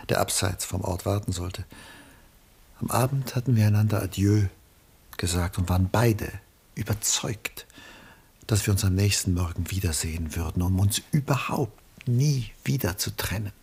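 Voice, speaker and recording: Older man in a radio play, really breathy husky voice, gravelly, reflective, confessing an old love. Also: fairly low pitch, full character voice. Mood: somber, confessional. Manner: measured, confessional, literary phrasing. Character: ageing man, reflective former lover confessing to his son. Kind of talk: 1960s German radio play acting.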